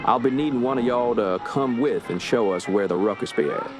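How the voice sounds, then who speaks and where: An actor playing a steady, authoritative police inspector, recounting a grim case in a radio drama. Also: male voice, mid-range voice, brisk, close to an everyday voice. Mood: composed, authoritative. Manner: composed, authoritative. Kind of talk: radio drama narration and dialogue. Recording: music under the voice.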